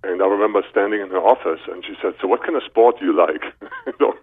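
A man's voice: sits low, fast talker, breathy edge. A man in his fifties with a German accent, telling his own story casually as an interview guest over a phone line. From Berlin, Germany. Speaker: guest, chiropractor. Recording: narrow muffled telephone line.

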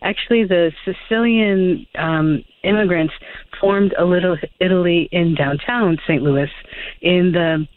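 A woman's voice: fairly low pitch, unhurried, dry recording. A woman author talking as a radio guest over the phone, hesitant, with many fillers and restarts. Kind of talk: phone interview chat. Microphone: telephone. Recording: tinny phone line.

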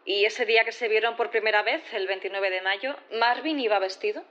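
A woman's voice: mid-range voice, a little fast, fairly bright tone, dull audio, natural voice. A woman acting as a composed, probing prosecutor in a sci-fi courtroom audio drama, formal, methodical and insistent. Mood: insistent, accusing. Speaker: actor in audio fiction.

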